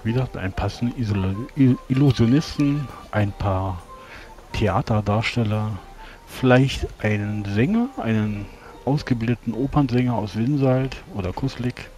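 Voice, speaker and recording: A man's voice: mid-range voice, moderate pace, a little mellow. Mid-voiced man roleplaying over music. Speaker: tabletop role-player. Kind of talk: in-character tabletop roleplay.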